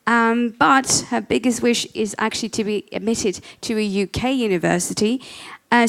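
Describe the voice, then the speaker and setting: A woman hosting an event, introducing the next guest casually with many fillers. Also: a little high. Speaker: event host. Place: event venue.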